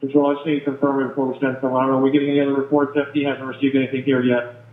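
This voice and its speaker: A man's voice: medium pitch, completely flat delivery, very fast. A man, a police officer, reporting in clipped procedural language over a police radio. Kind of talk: live police radio traffic. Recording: tinny, echoey police scanner radio.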